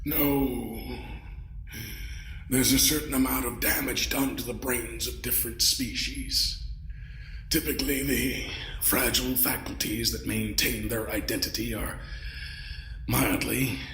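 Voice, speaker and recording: A man voicing an ancient, courtly alien mind-parasite in an audio drama, pompous and smugly menacing, savoring his pauses. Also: mid-range voice, bright, forceful tone, a little hiss or hum. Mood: haughty. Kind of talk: scripted audio drama acting. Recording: roomy echo.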